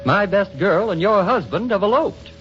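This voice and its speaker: An actor playing a suave, courtly, rueful gentleman in a 1940s radio drama. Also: sits high, animated, moderate pace, over music. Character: Mr. Deming, suave gentleman, rueful jilted suitor. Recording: dull vintage radio broadcast.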